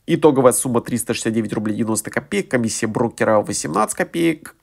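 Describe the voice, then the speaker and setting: A man with a middling, ordinary voice talks through his portfolio as a voice-over on a phone screen recording. His delivery is hesitant, padded with drawn-out fillers between figures. It has the feel of a casual home-made investing channel. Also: medium pitch, lively intonation, gravelly. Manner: filler-heavy, hesitant with frequent "э".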